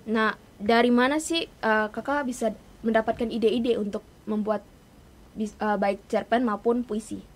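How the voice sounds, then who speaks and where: Female interviewer, young adult, moderately high pitch, casual and conversational. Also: steady tone, a little slow. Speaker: interviewer, host.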